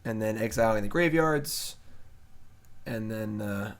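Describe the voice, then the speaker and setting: A man's voice: lowish voice, measured pace. A man streaming a card game live, casually thinking aloud about his plays and criticizing his own mistakes. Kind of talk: casual live gameplay commentary.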